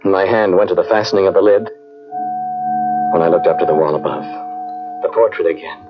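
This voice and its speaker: Man playing a haunted scientist, low voice, halting brooding storytelling, radio mystery, dull old tape, music underneath. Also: lightly acted. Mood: hushed dread. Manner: dramatic, halting, brooding delivery. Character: haunted male scientist recounting a terrifying tomb ordeal. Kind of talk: old-time radio drama acting, first-person narration.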